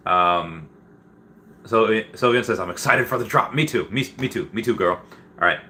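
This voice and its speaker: A man with a low, bright and forceful voice hosts a casual livestream. He talks in a rambling, slangy, stop-start way as he responds to viewers. There is some clear noise in the background of the recording. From Peru. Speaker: livestream host. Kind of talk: casual livestream chat, reading viewer comments.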